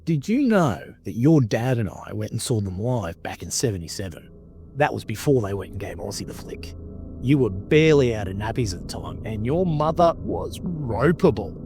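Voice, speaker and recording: An actor playing a jovial, teasing middle-aged uncle in an audio drama. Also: male voice, lowish voice, very animated, moderate pace, mellow. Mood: jovial, chummy. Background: music.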